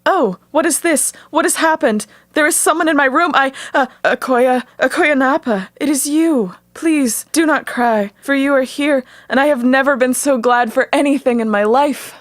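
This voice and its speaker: A woman's voice: high-pitched, normal speed, hardly any pauses, no room sound, clean studio sound, close to an everyday voice. A woman playing a polite, tender-hearted young princess in love in an audio drama, formal and earnest. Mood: amazed, loving.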